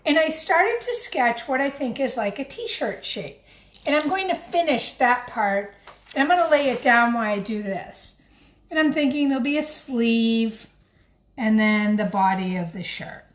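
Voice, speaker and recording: A woman teacher gently and encouragingly talking young children through a craft in simple words as she demonstrates it. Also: a little high, expressive, measured pace. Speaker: primary school teacher leading a craft. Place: home kitchen, by the refrigerator. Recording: echoey room with faint background noise.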